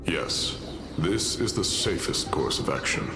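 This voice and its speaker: A man's voice: low voice, lively intonation, unhurried. A man playing a stoic, honorable doomed warrior in a dramatic video game, speaking solemnly and formally. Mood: grave, resolute. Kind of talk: English game voice acting, dramatic. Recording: echoey, loud music behind.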